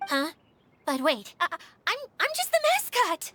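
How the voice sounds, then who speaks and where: A woman's voice: extremely high pitch, huge pitch swings, fairly bright tone, a little hiss or hum, full character voice. A woman voicing a girlish, self-deprecating mascot creature in a video game, theatrical and easily flustered. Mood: flustered, tearful protest. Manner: theatrical, quick to protest.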